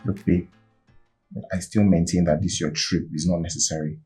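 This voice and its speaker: An actor playing a reluctant husband who grumbles drily about favors. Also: male voice, deep voice, steady tone, normal speed, dark tone, faint music behind, natural voice. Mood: grudging.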